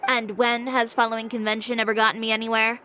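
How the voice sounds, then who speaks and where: Blunt, self-assured young boutique owner. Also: female voice, fairly high pitch, little pitch movement, quick pace, sharp tone, slight background noise. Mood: brisk, dismissive. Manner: direct, composed, clipped. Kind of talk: video game voice acting.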